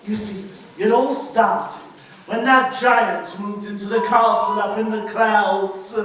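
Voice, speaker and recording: A boisterous British pantomime dame, acted by a man, sounds distant in a very echoey stage space. The village woman is middle-aged, motherly and cheeky, with a high voice. She chats in an exaggerated theatrical way, coaxing the audience along. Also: a bit bright. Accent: British English. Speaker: pantomime performer. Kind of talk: live pantomime monologue with audience address. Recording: roomy echoing stage, distant mic, faint noise.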